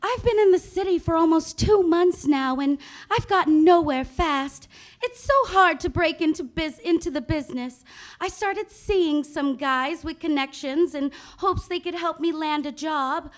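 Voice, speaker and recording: A woman's voice: extremely high pitch, a little slow. A woman playing an earnest, eager aspiring young actress in a live 1940s-style radio play, gushing and theatrical. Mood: apologetic, earnest. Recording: live stage recording.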